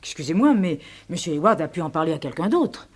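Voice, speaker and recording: An actress playing a shocked, defensive middle-aged secretary in a French radio drama. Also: female voice, low voice, sing-song, fast, husky, exaggerated voice.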